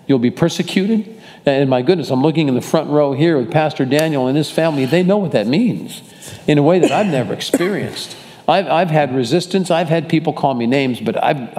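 A male pastor walks his congregation through scripture in a loose, rambling way, stopping often to correct himself. His voice sits at an everyday pitch for a man. It rings out with a long reverb, as it would in a church sanctuary. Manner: warm, rambling, self-correcting delivery. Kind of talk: casual Bible study teaching.

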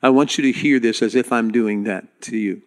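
An earnest male pastor addressing his congregation in a sermon. His voice sits at an ordinary middle pitch, and he speaks directly to the people, often stopping to restart a phrase. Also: moderate pace.

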